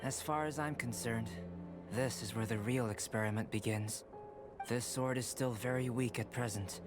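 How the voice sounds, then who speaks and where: An actor playing a calm, polite young alchemist in an anime-style video game, explaining things in a measured, formal, analytical way. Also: male voice, mid-range voice, close to an everyday voice. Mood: quietly intrigued. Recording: studio voice over game music. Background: background music.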